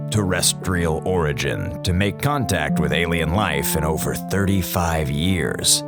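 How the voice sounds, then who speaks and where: An actor playing an excitable, wisecracking space-station communications officer who acts as a pompous radio host in an audio drama. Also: male voice, low voice. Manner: theatrical, pompous when announcing, flustered when talking. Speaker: co-host of an in-story radio show. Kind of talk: audio drama acting, mock broadcast narration.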